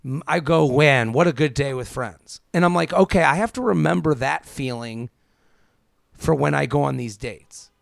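Man in his thirties, gravelly, higher-pitched voice. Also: moderate pace, dry recording, clean studio sound. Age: 30s. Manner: animated quoting of imagined speakers, rhetorical questions. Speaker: podcast co-host. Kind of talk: casual advice chat.

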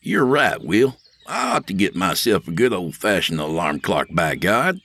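A man's voice: deep voice, very animated, measured pace, a bit bright, husky, no echo, heavily acted. A man voicing an anxious, cautious man who speaks raised and tentatively. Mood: appeasing. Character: anxious man trying to stay calm.

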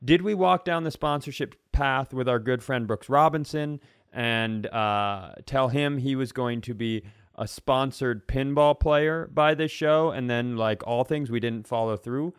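A man's voice: medium pitch, unhurried, clear, resonant tone, dry recording, studio quality. A man co-hosting a podcast with casual comedic banter, rambling and repeating himself for emphasis. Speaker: podcast co-host.

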